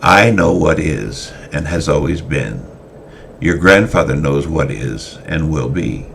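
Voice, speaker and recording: A wise, solemn old mentor of small stature speaks in archaic phrases, his voice very deep and gravelly, as a storyteller puts him on. A faint hiss runs under the reading. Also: animated, slow pace, exaggerated voice.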